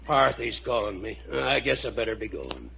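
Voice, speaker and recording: An actor playing a henpecked, good-natured old riverboat captain in a 1950s radio drama, speaking in a husky, rustic, ungrammatical way. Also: male voice, lowish voice, big pitch swings, moderate pace, pushed and bright, slight background noise, heavily acted. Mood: flustered, henpecked. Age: older. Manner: folksy, rustic, ungrammatical speech. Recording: muffled vintage 1950 radio recording.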